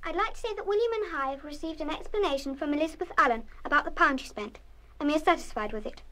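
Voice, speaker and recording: A woman playing a formal, brisk head girl in an audio drama. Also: sits high, animated, sharp tone. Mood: formal, businesslike. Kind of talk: full-cast audio drama acting.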